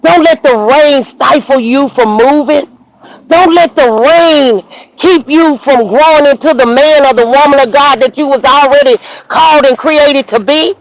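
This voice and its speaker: A woman minister hosting a livestream, preaching outdoors in the rain with repetition and rising shouts. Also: sits high, gravelly, dry recording, low-quality recording. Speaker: minister and show host. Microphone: phone livestream.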